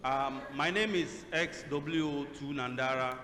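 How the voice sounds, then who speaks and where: A man's voice: medium pitch, slow pace, crowd noise. A Ghanaian man in the audience asks a question in a formal, emphatic way. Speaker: audience member asking a question. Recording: roomy hall with faint noise.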